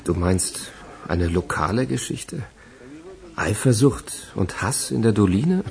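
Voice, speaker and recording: An actor playing a sly, easygoing police detective in a radio crime drama, teasing and conspiratorial. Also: male voice, on the low side, lively intonation, slow pace, gravelly, darkish tone, exaggerated voice. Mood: teasing, businesslike.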